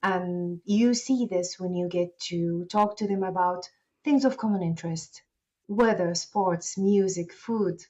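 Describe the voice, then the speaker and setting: A woman's voice: on the low side, a little slow, warm tone, muffled recording. A woman whose first language is Arabic, a guest founder speaking politely and thoughtfully in an interview. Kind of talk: interview conversation.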